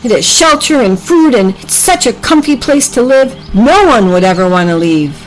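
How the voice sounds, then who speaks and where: A woman voicing an earnest, excitable farm animal in a children's storybook. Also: a little high, moderate pace, soft background music, voice pushed up, low-quality recording. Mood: thoughtful.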